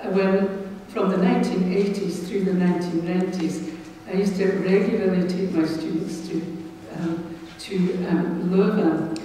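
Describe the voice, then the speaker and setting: A woman's voice: on the low side, steady tone, unhurried, mellow, recorded from a distance, slight background noise. A woman, a former teacher, telling a rambling anecdote with many ums during a seminar discussion. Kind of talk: discussion comment. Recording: echoey hall, recorded from afar.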